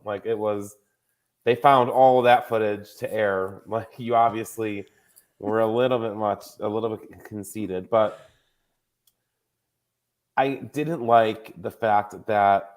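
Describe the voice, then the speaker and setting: A man in his thirties hosting a podcast, chatting casually and chattily with plenty of filler words. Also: on the low side. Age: 30s. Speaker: podcast host. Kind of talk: casual chat.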